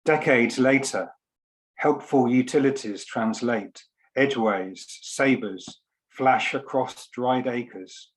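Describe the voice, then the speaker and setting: A man reading poetry and introducing it in a measured, deliberate way. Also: medium pitch, poor audio. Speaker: poet reading at a book launch. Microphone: online video call.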